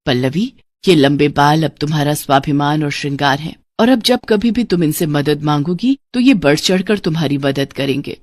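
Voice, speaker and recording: A woman voicing the gentle, solemn spirit of a dead mother in a fairy tale, speaking soothingly. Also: low-pitched, expressive, moderate pace, few pauses, dry recording, faint music behind, voice pushed down. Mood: reassuring.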